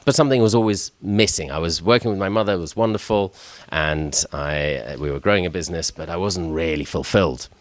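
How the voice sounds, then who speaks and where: A man's voice: deep voice, a little fast, hardly any pauses, dry recording. A middle-aged man who grew up in Switzerland, a chef speaking as a guest, telling his personal story in a hesitant way and often repeating words as he starts his sentences.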